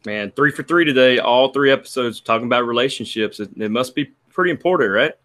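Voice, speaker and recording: A male podcast host speaks in a relaxed, conversational way and asks follow-up questions. His voice has a middle pitch for a man. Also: fast talker. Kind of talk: conversational interview.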